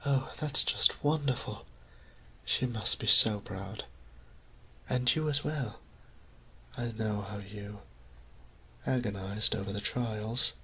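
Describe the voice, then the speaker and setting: A man playing a kindly, genteel vampire priest in an audio drama, speaking politely and courteously with pauses mid-phrase. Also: on the low side, bright, forceful tone, husky, heavily acted, low-quality recording. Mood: proud, encouraging. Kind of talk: scripted audio roleplay drama.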